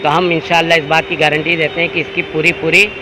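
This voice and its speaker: Male community representative, monotone, slightly high pitch, formal statement, noisy street. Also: fast. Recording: clear outdoor traffic noise.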